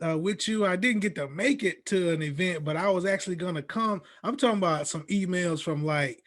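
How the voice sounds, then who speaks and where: A man's voice: high-pitched, brisk, a bit bright. A man hosting a podcast interview, talking in an informal, upbeat, slangy way. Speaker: podcast host.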